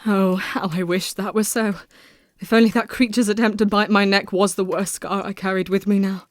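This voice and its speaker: Actress as a young Victorian heroine, resolute, formal phrasing, earnest, audio drama. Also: female voice, mid-range voice, steady tone, normal speed, natural voice. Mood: somber. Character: Mina, resolute grieving young Victorian Englishwoman.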